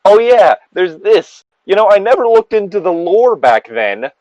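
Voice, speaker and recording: A man's voice: high-pitched, big pitch swings, moderate pace, a little mellow, no room sound. Man rambling casually, dry dull recording. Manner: rambling, swears freely. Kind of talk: casual chat.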